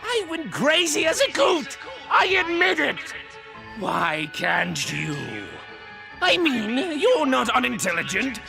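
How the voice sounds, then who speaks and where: An actor playing a manic, theatrical supervillain clown in a comic dub, unhinged and swinging between shouts and mutters. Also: male voice, very high-pitched, huge pitch swings, slow pace, creaky, over music, full character voice. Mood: manic ranting. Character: manic, theatrical middle-aged male supervillain clown madman.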